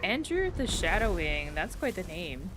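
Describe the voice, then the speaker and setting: A woman streaming a video game with casual, chatty live commentary in a creaky voice. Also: lowish voice, very animated, a little slow, very noisy. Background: game music.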